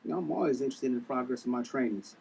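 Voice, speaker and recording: A man's voice: medium pitch, very fast, vocal fry, a little mellow, close to an everyday voice. Gym trainer male actor at table read: protective flirt, slangy, off-mic in a noisy room. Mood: teasing, flirty. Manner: casual slangy talk. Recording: noisy, distant room recording.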